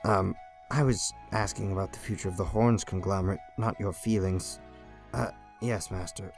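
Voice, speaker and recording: A man voices a dutiful anime manservant with a fairly low voice. He is formal, polite and deferential, with soft music underneath. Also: fairly even intonation, normal speed, creaky. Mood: awkward, deferential. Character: formal, dutiful male animal servant to his master.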